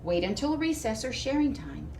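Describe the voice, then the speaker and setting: A woman's voice: on the high side, big pitch swings, normal speed, warm tone. A woman voicing an upbeat, kindly male mouse schoolteacher from a storybook, with emphatic, teacherly address. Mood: patiently firm. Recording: distant mic with clear background noise.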